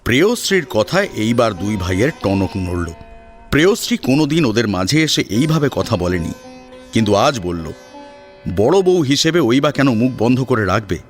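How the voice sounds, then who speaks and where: A man's voice: mid-range voice, big pitch swings, moderate pace, soft background music. A man narrating a scripted story in a dramatic storytelling style.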